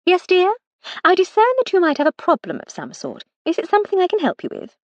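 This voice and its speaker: A woman's voice: very high-pitched, wildly swooping pitch, brisk, no echo, highs cut off, voice pushed up, exaggerated voice. Woman voicing an arch, teasing posh lady. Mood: airy. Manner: arch, drawling and playfully mocking. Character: posh, teasing upper-class English lady.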